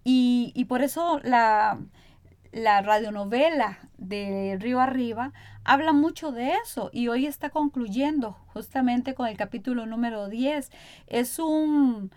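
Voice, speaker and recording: A woman's voice: fairly high pitch, unhurried, darkish tone, no echo. A woman hosting a conversational radio interview.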